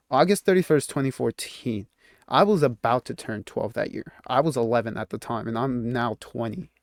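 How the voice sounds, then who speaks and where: A man's voice: medium pitch, a little mellow, no room sound. Young man, twenty, casual vlog talk, rambling, restarts sentences, dry close sound. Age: 20s. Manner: rambling, self-interrupting, laughs at himself. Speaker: YouTuber.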